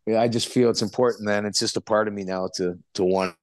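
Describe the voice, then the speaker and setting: Middle-aged canadian guy, low voice, dead dry sound, earnest and rambly. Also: fairly even intonation, fast, no echo. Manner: earnest, rambling, repeats "man" and "you know". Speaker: musician guest. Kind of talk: candid interview conversation.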